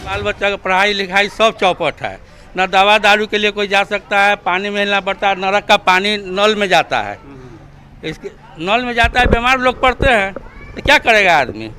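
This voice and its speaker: A man's voice: high-pitched, moderate pace, sharp tone. A man, a local resident, complaining in an unscripted news soundbite.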